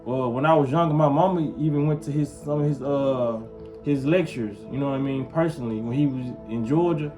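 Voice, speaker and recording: A man's voice: mid-range voice, a little fast. Man, casual vlog, rambling, music under voice. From Memphis, US South. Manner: rambling, keeps asking "you feel what I'm saying". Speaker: vlogger. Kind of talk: casual talk to camera.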